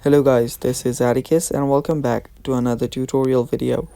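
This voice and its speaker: A man's voice: medium pitch, little pitch movement, a little fast, gravelly, mellow, clear, resonant tone. A man hosting a how-to video, narrating in a casual, informal way. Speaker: tutorial host.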